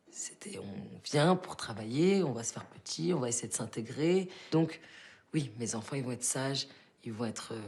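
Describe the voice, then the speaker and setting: A woman telling a family story, soft-voiced. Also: extremely low pitch. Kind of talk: recounting a personal story.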